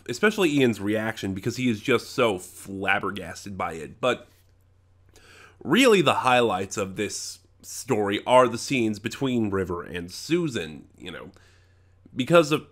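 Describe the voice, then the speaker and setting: A man giving a casual, unscripted, opinionated review, stuffed up with a head cold, halting and often rephrasing as he thinks. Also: fairly low pitch, fairly bright tone.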